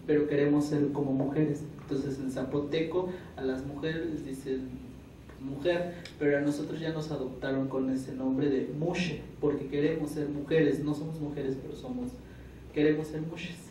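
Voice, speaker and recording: A man's voice: fairly high pitch, flat delivery, normal speed, mellow. A man from Juchitán in Mexico giving an earnest testimony at a panel, explaining patiently and repeating his key words. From Juchitán, Isthmus of Tehuantepec, Mexico. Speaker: panel speaker. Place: conference room. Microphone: table microphone, recorded by far camera. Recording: echoey room from far off, noisy.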